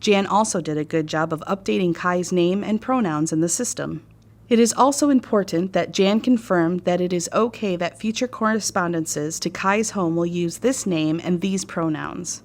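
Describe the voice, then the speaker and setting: An American woman narrates a staff training course. Her voice is a little low, and she reads in a measured, formal, instructive manner.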